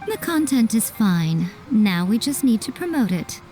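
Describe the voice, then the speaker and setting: Mellow, sly fox-spirit lady. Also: fairly high pitch, very animated, soft background music. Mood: businesslike. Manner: poised, unhurried, teasing. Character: sly, composed female fox-spirit shrine maiden and publisher. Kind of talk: video game character acting.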